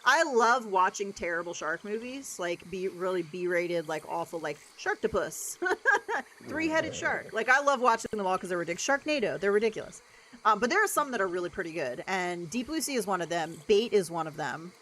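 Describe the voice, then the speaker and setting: A young adult woman with a deeper voice, livestreaming a game and chatting casually with lots of "like" and "um". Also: lively intonation, normal speed, bright, forceful tone, a little hiss or hum. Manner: rambling, chatty, many "like" and "um" fillers. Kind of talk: casual chat while gaming.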